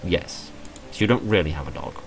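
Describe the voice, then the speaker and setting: A man's voice: deep voice, animated, a little fast, gravelly, soft background music, rough recording. A man voicing a blunt, questioning but forgiving young woman in a visual novel. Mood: suspicious, probing. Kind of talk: visual novel character reading.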